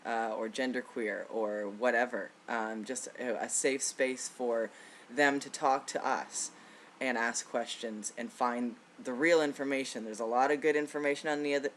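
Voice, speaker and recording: Relaxed man in his thirties, reflective. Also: medium pitch, a little hiss or hum. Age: 30s. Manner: relaxed, reflective, with frequent fillers and soft laughs. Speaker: vlogger. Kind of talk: casual personal video update.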